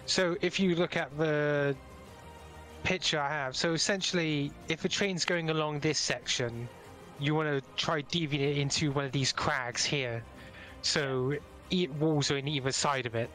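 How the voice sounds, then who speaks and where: A man's voice: on the high side, fairly even intonation, moderate pace, fairly bright tone, faint music behind. A man running a tabletop roleplaying game as game master, talking casually through rules and scenes.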